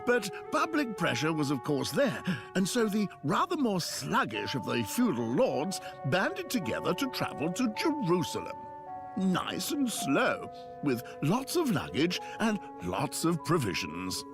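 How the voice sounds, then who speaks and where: Rambling church priest in a game, drily amused, voice a bit high and really singsongy. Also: male voice, wildly swooping pitch, a little slow, music in the background, full character voice. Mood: wryly amused. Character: chatty, wry priest and shepherd of a church. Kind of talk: video game character dialogue.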